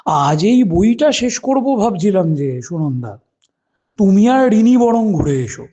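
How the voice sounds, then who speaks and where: Older male retired detective, husky, lively swooping intonation, teasing easygoing husband, audio drama. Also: high voice, measured pace, heavily acted. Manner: teasing, expressive.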